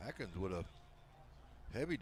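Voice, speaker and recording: A man with a big, loud voice calling high school football play-by-play from a press box, mixing calls with folksy jokes. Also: sing-song, normal speed, slight background noise. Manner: folksy, joking banter between calls. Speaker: play-by-play announcer. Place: press box at outdoor stadium.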